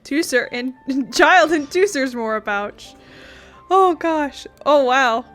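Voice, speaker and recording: A woman's voice: high-pitched, expressive, takes it slowly, fairly bright tone. A woman giving casual, chatty let's play commentary as host of a gaming video. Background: faint game music.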